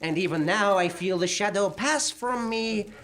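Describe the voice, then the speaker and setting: An actor playing a grim, haunted man telling a dark tale in a grave, dramatic way, in a live stage radio play. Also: mid-range voice, moderate pace, lightly acted. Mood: ominous.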